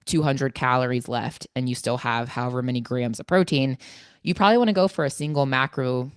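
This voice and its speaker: A woman's voice: very deep voice, fairly quick, clear, resonant tone, no echo, clean studio sound. A woman hosting a casual solo podcast on nutrition, sounding stuffy and congested, chatty and informal with lots of fillers. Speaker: podcast host and fitness coach.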